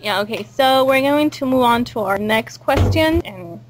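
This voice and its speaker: A woman's voice: high voice, lively intonation, moderate pace, noisy background. A woman hosting a casual cast interview, chatting and reading out questions. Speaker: interview host and cast member.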